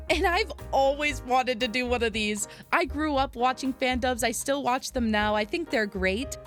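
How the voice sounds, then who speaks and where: A woman narrating a vlog in an enthusiastic, earnest way. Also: high-pitched. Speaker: aspiring voice actor, content creator.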